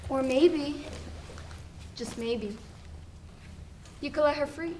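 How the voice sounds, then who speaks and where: A sly, needling inner self acted by a young woman with a high voice and slow, deliberate delivery. She coaxes and insists like a hidden second personality on a student stage, with a little noise on the recording. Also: a little mellow. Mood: coaxing. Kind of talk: student stage play acting.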